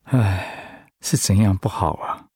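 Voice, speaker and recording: A man's voice: fairly low pitch, slow pace, darkish tone, husky, no room sound, clean studio sound. A man acting in a radio drama as a humble, anguished pastor and devoted husband, speaking in a heartfelt, sighing way. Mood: anxious.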